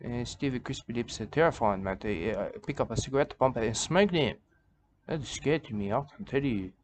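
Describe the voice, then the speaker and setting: Man, mid-pitched, rambling comic commentator; repeats himself, broken grammar, faint hiss behind. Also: expressive, moderate pace. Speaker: commentator reacting to a video.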